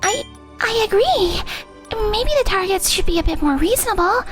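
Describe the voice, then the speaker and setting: A woman's voice: very high-pitched, animated, moderate pace, over music. A woman voicing a timid, sweet young female office colleague in an English manga dub, tentative in manner. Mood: timid, surprised.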